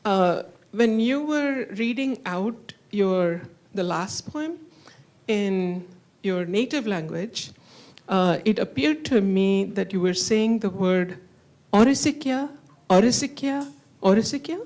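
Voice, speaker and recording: A woman's voice: mid-range voice, lively intonation, takes it slowly, a little mellow. A woman on a writers' panel, commenting in a thoughtful way.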